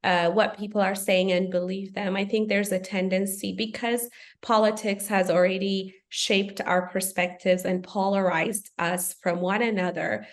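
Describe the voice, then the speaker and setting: A woman's voice: mid-range voice, little pitch movement, moderate pace. A woman from Ethiopia, a podcast guest, speaking in a thoughtful, earnest way with frequent fillers. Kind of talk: podcast conversation.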